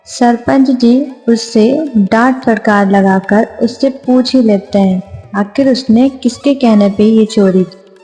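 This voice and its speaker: A woman's voice: a little high, slow pace, mellow. A woman narrating a scripted moral story for a cartoon. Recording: long reverb, music behind.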